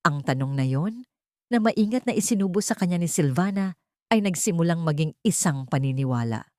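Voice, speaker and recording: Lady narrating a drama story, mellow low voice, very dry close sound. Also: expressive, fairly quick, no echo.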